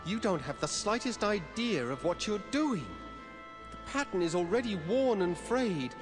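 An actor playing an earnest, wry young apprentice hero in a video game. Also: male voice, sits high, very animated, a little slow, over music. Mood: alarmed. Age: young adult.

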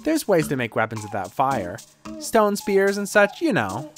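A woman's voice: sits low, wildly swooping pitch, unhurried, faint music behind, pitched below the performer's own voice, heavily acted. A woman voicing an awkward but boastful young goblin shop worker in a fantasy audio comedy, with an emphatic delivery. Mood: eager, brash.

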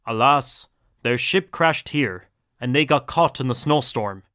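A man as an animated, earnest doctor. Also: mid-range voice, unhurried, dry recording, studio quality. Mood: earnest storytelling. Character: earnest male doctor and time traveller, recounting. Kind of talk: audio drama narration.

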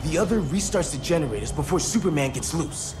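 A man's voice: fairly high pitch, animated, quick pace, music in the background, natural voice. A man playing a cocky, eager young superhero with brash, slangy delivery in a video game. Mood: cocky, determined.

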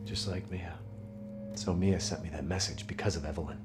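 A man's voice: deep voice, steady tone, fairly quick, music in the background, lightly acted. An actor playing a tense, bewildered everyman survivor in a video game, speaking tersely. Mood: puzzled. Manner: terse, questioning.

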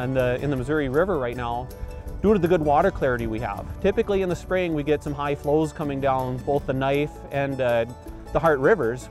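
A man's voice: mid-range voice, a little mellow. A man in his forties, a fisheries biologist, answering interview questions outdoors by a river in an earnest, explanatory way, with frequent fillers and restarts.

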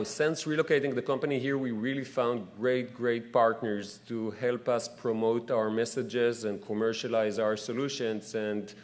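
A man in his thirties gives a business talk from a podium microphone in an event hall. He hesitates often, filling gaps with uhs and restarting phrases. His voice sits at an ordinary mid pitch. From New Jersey. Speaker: company executive.

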